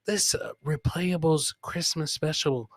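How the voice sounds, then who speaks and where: A man hosting a live comedy podcast, casually reacting to funny clips in a rambling, jokey, animated way and repeating his catchphrases. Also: a little high, measured pace, dry recording, clean studio sound.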